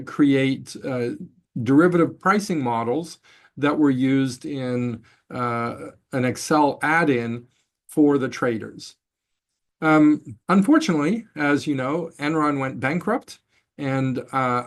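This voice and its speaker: A middle-aged man, originally from Brazil, lectures on company valuation in an online class. His pitch sits in an ordinary middle range. He talks haltingly and often restarts his sentences. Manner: halting, many fillers and restarts. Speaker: finance professor.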